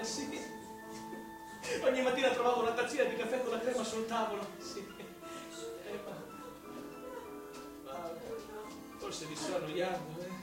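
A man's voice: high-pitched, close to an everyday voice. Male actor as wretched drunkard, radio drama, rambling and self-abasing, very reverberant, far from mic. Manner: pleading, rambling, overwrought, repeating himself. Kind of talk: theatrical radio drama monologue. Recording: very echoey, distant from the mic. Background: music.

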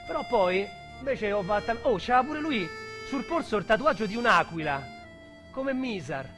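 An actor playing an excitable, streetwise Roman small-time robber, telling his story as a theatrical radio drama monologue in slangy dialect. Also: high voice, moderate pace. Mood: streetwise, reminiscing. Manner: slangy, vulgar, theatrical storytelling with shouts and hushed asides. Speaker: actor narrator. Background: music under the voice.

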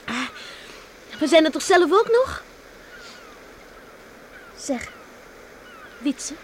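A woman playing a headstrong, warm-hearted young farm girl in a radio drama, plaintive and insistent. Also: sits high, big pitch swings, drawn-out words, exaggerated voice. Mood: contented, confiding. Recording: noisy old radio play recording.

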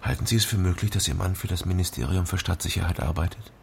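An actor playing a dry, terse East Berlin private detective in a naturalistic radio crime drama. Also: male voice, sits low, quick pace, creaky, a little mellow, a little hiss or hum. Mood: dry, probing.

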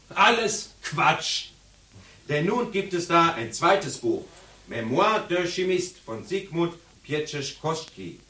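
An actor playing an opinionated, combative literary scholar in a radio drama, arguing eloquently and emphatically. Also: male voice, on the high side, pushed and bright, exaggerated voice. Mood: dismissive, challenging. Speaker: seminar participant. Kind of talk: radio drama monologue, academic argument. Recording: echoey room, distant from microphone.

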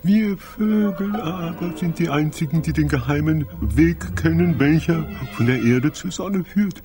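A man's voice: fairly high pitch, measured pace, a little mellow, faint music behind, lightly acted. An actor playing an embittered male bird, speaking with insistent, accusing urgency in a radio play. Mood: bitter, accusing. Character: embittered wounded male bird demanding the human's death.

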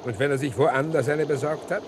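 An actor playing an evasive, well-spoken gentleman criminal in a German radio crime play, speaking in a formal, hesitant, self-justifying manner. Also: a little high, brisk, noisy background, natural voice. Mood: defensive, indignant.

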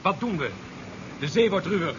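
An actor playing a brisk, practical naval subordinate who questions his orders, in a 1970s science fiction radio drama. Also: high voice, lively intonation. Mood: doubtful. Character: brisk, doubting male naval subordinate officer. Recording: dull, noisy 1970s radio recording.

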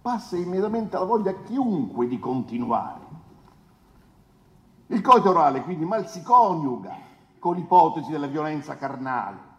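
An actor playing a pompous, insinuating defence lawyer who declaims to the court with rhetorical pauses and questions. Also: male voice, fairly high pitch, sing-song. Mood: insinuating, emphatic. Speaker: stage actor. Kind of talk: theatrical courtroom oratory. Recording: echoey hall with long reverb.

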